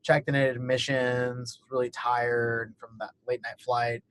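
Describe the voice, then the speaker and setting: A man's voice: medium pitch, level tone, unhurried, a bit bright. Upbeat young man from Kentucky. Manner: upbeat, storytelling, laughs often. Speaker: MBA student panelist. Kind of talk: casual webinar chat.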